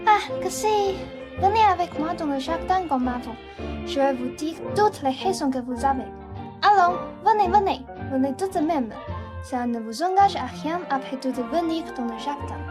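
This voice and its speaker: A woman's voice: high-pitched, lively intonation, moderate pace, music in the background. A young woman playing an eager, lovestruck, coaxing girl in a French radio farce. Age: young adult. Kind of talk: French stage farce performed as student radio play.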